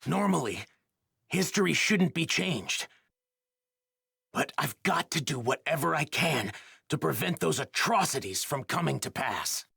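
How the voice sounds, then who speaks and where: An actor playing an earnest, grave young warrior from a doomed future in an anime video game dub, speaking politely and deliberately. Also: male voice, a little high, expressive, gravelly, pushed and bright, slightly rough, no echo, studio quality, full character voice. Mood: sincere.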